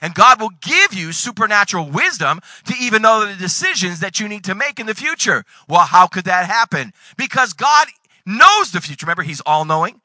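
Male pastor, forties, high voice, dry close sound, dynamic sermon delivery. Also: very animated, fairly quick, dry recording. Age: 40s. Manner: preacher's dynamic delivery with sudden loud bursts and Bible readings.

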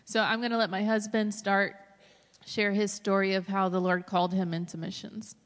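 A woman's voice: mid-range voice, flat delivery, moderate pace. A woman in her thirties giving a personal testimony as a missionary guest speaker to a church congregation. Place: large church hall, over a PA. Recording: echoey hall, long reverb.

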